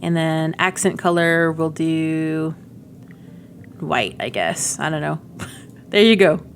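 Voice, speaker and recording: A woman's voice: deep voice, takes it slowly, slight background noise. A middle-aged woman giving casual, rambling game demo commentary with cutesy slang. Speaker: gaming video creator.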